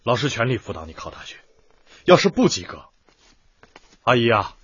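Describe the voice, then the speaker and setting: An actor playing a stern but soft-hearted young home tutor in a radio drama, with a husky voice and an emphatic, schoolmasterly manner. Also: male voice, medium pitch, sing-song, moderate pace, dry recording, lo-fi sound. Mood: firm, businesslike.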